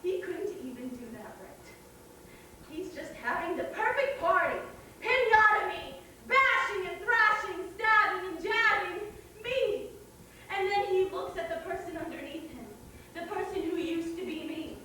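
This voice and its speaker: An actress performing a theatrical monologue as a traumatized, fragile young woman, intense, halting and repetitive. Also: very high voice, animated, pushed and bright, heavily acted. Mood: bitter, pained. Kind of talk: theatrical stage monologue at a staged reading. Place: theater stage, picked up from far off. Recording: distant, very echoey stage recording, faint noise.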